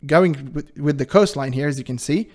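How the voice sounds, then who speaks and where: A man with a high-pitched voice hosting his channel, giving casual commentary in an animated, defensive way and laughing often. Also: moderate pace. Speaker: channel host reacting to a video.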